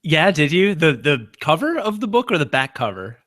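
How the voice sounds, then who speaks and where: A man's voice: on the high side, very animated, normal speed, a bit bright. A young man co-hosting a podcast, teasing and sarcastic in casual banter, often laughing. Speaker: podcast co-host.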